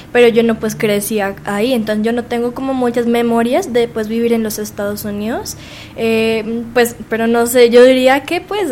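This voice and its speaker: A woman's voice: on the high side, a little hiss or hum. A teenage girl born in the United States and raised in Colombia, speaking hesitantly with many fillers as a student radio guest. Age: teens.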